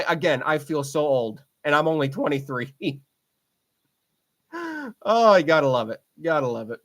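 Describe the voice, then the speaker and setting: A man's voice: a little high, fairly bright tone. A man in his twenties hosting a racing podcast solo, talking casually, rambling and repeating himself with lots of fillers. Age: 20s. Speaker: podcast host.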